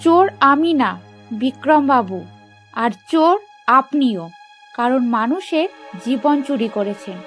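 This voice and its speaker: A woman's voice: sits high, lively intonation, slow pace, pauses often, a bit bright, soft background music, heavily acted. A woman playing a wronged, grieving young mother in a cartoon moral story, declaiming with righteous defiance. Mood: defiant accusation. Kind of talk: dramatic animated moral story acting.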